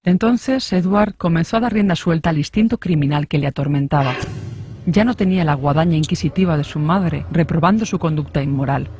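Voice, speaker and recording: High-pitched man narrating dark true crime. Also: brisk. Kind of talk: scripted true-crime narration for a suspense audio story.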